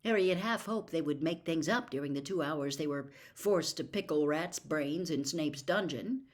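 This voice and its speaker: A woman reading a children's fantasy novel aloud as an expressive storybook narrator. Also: sits low, moderate pace. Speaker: audiobook reader.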